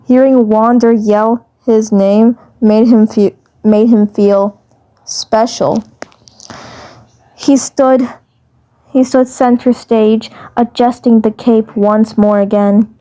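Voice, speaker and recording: Slow, halting high-pitched woman narrator. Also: steady tone, warm tone. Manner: halting, repeats phrases. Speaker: fandub narrator. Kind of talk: reading fan fiction aloud.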